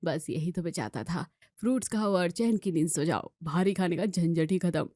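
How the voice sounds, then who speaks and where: A woman reads the part of a calm husband in a domestic storybook tale. He lectures and brushes off objections in an even, preachy manner. The sound is dry. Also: fairly low pitch, normal speed, no echo, lightly acted. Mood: preachy, dismissive. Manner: dismissive, advising. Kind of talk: acted Hindi family story dialogue.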